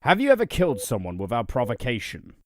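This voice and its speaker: A man's voice: medium pitch, wildly swooping pitch, fast talker, slight background noise. A man playing an affable, quirky wasteland observatory boss in an audio drama, talkative and apologetic in manner. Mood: casually inquisitive. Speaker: voice actor.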